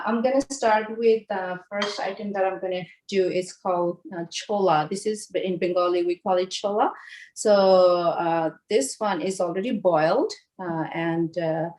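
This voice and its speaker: A woman in her forties from Bangladesh, explaining home recipes in a casual, halting way with lots of fillers and restarts. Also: on the low side, low-quality recording. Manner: hesitant, many fillers and restarts. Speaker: home cook guest. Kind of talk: casual cooking demonstration.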